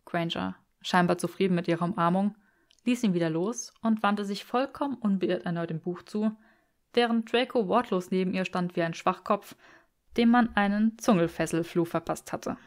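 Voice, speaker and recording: A woman reads a story as an audiobook narrator, in an expressive scripted delivery. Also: fairly low pitch, normal speed.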